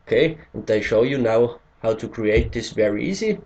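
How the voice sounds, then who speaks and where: A man's voice: mid-range voice, expressive, moderate pace, darkish tone, dull audio. A man from Austria, a native German speaker with an Austrian German accent, hesitantly walking through a screen-recorded tutorial in English and searching for words as he goes. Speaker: tutorial maker.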